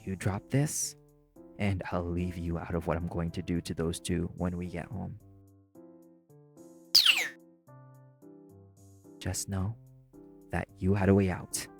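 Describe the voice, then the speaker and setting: A low-pitched man acting as a young waiter, prim and exasperated, in an audio roleplay. He speaks in formal, deadpan phrases with patient condescension and dry sarcasm. Music plays behind him. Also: normal speed, vocal fry. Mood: low warning. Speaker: voice actor.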